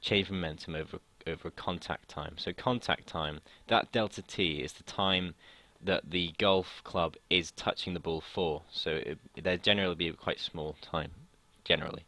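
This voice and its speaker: A young man from the United Kingdom explaining a physics lesson in a casual way, his voice slightly croaky from long talking, often hesitating and restarting sentences. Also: low-pitched, fairly even intonation, normal speed, few pauses, sharp tone, no echo. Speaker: student tutor.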